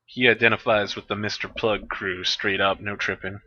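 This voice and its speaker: A man's voice: on the low side, level tone, normal speed, sharp tone, highs cut off, a little hiss or hum. A man running a tabletop game as game master, matter-of-fact with dry humor.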